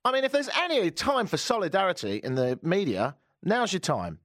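A man hosting a talk radio show, sardonic and animated, joking and ranting about the news. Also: sits high, brisk, no room sound, clean studio sound. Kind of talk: opinionated talk radio chat. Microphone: studio microphone.

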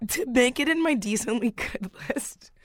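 A young woman co-hosting a radio show, her voice raspy from the flu, giggly and chatty in casual banter. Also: on the high side, lively intonation, moderate pace, a crowd in the background. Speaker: radio co-host. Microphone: studio microphone.

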